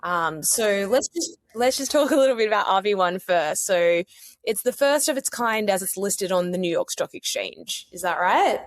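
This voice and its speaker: A woman's voice: mid-range voice, animated, normal speed, no echo. A woman, hosting a podcast interview in an upbeat, enthusiastic way. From Australia. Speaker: podcast host.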